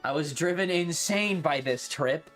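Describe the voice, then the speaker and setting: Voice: medium pitch, measured pace, fairly bright tone, soft background music. A streamer chatting casually and live, rambling and opinionated, swearing freely and complaining with playful exaggeration. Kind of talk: casual livestream chat.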